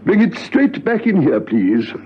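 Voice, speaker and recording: An actor playing a suspicious, officious government boss who starts hesitantly in a radio drama. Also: male voice, sits high, huge pitch swings, a little slow, a little hiss or hum, heavily acted. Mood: grudging, officious. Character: fussy, suspicious male government department boss. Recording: muffled phone-like old radio recording.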